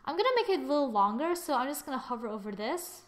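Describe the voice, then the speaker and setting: Female tutorial presenter, slightly high voice, informal step-by-step delivery, thinking aloud. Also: expressive, quick pace. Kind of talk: casual software tutorial narration.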